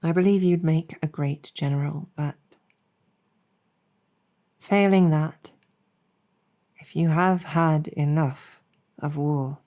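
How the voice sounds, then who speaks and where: Woman acting as female demon general, ASMR roleplay, mellow, very slow, earnest, gentle, hesitant. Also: deep voice. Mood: tender, hopeful. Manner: soft, measured, hesitant, reassuring. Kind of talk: improvised ASMR roleplay storytelling.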